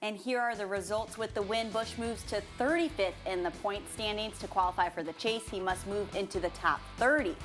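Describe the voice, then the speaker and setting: A woman's voice: mid-range voice, fairly quick, hardly any pauses, over music. A woman working as a sports anchor, reading scores and match recaps in a broadcast news style. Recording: faint background noise.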